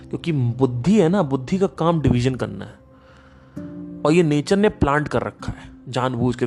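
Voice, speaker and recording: A man hosting a podcast, giving a casual spiritual talk in an emphatic, animated storytelling manner. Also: fairly high pitch. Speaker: podcast host.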